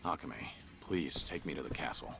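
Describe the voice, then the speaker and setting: An actor voicing a stoic, gruff middle-aged tough guy in a video game, terse, reserved and deadpan. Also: very deep voice, moderate pace, soft background music, full character voice. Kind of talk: English video game voice acting.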